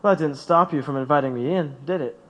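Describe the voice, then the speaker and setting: An actor playing an earnest, loving young labor organizer in an audio drama. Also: male voice, fairly high pitch, fast talker, natural voice. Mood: fond, playful. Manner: earnest, fervent. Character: fervent, loving young male railroad strike organizer.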